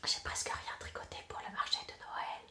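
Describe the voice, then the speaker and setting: A woman's voice: fairly quick, sharp tone, reverberant, whispered. A woman in her thirties with a stuffy nose from a cold, chatting casually and self-mockingly as she knits at home. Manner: chatty and self-mocking, trails off counting stitches. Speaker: knitting podcast host. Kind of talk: casual chat while knitting.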